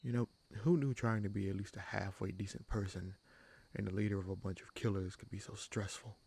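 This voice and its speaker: A man playing a gruff but caring human bandit leader in a fantasy audio roleplay, wry and protective, with informal, halting phrasing. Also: steady tone, a little mellow. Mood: wry, determined. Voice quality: gravelly, husky low voice.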